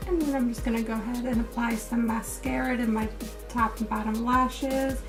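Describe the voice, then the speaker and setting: A woman beauty vlogger, slightly hoarse, talking through a makeup tutorial step by step and then giving a casual review with many fillers. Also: on the high side, steady tone, moderate pace. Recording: distant mic with music playing behind.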